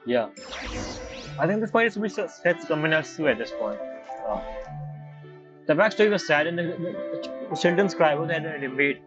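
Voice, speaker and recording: A man's voice: fairly high pitch, expressive, normal speed, long pauses. A man streaming a video game, giving casual reaction commentary over the game. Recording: dull lo-fi audio, game music behind. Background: video game music.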